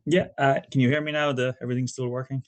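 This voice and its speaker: A man in his thirties with an Irish accent gives an online academic talk over a video call, relaxed and conversational, with frequent asides. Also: medium pitch, fast talker, clean tone. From Ireland. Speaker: guest lecturer.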